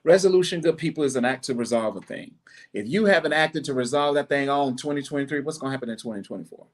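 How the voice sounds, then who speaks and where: A middle-aged man hosting a podcast, giving a blunt, preachy monologue with animated asides. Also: mid-range voice, quick pace. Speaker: podcast host. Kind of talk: casual monologue.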